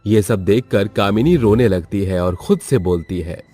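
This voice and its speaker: A man's voice: on the low side, normal speed, dark tone, faint music behind. A man narrating a scripted story as the storyteller.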